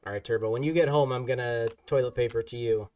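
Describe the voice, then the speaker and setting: A man hosting a relaxed game stream, teasing his friends and greeting viewers from chat. His voice sits at an ordinary middle pitch. He sounds a little far from the mic. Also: fast talker. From Ohio, USA. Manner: teasing, reads chat aloud. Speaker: streamer host. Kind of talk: casual gaming chat.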